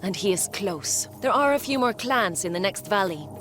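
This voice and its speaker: An actress playing a composed Norse valkyrie who serves a god, explaining and guiding in video game cutscenes. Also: female voice, medium pitch, expressive, normal speed, fairly bright tone, soft background music, close to an everyday voice. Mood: calm. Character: Norse valkyrie servant of Odin, calm and wise. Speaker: game character.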